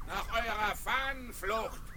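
An actor playing a pirate captain who calls out and bargains in a 1970s radio play, recorded on old vinyl. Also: male voice, high-pitched, slow pace, noisy background. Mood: bargaining. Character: pirate captain calling out from outside the stockade.

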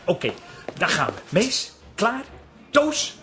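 An actor in a Dutch radio comedy playing an eager, flattering, smooth-talking man who soothes and helps. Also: high-pitched, takes it slowly, noisy background, heavily acted. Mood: helpful, persuasive.

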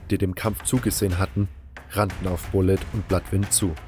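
A man's voice: low-pitched, darkish tone. A man narrating a scripted fantasy story in the first person as its narrator. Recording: music behind the voice. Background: music.

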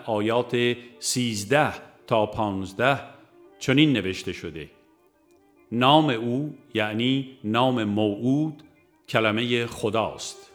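A man reading a religious book aloud as a formal, measured scripted narration. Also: medium pitch, fairly bright tone. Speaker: audiobook narrator.

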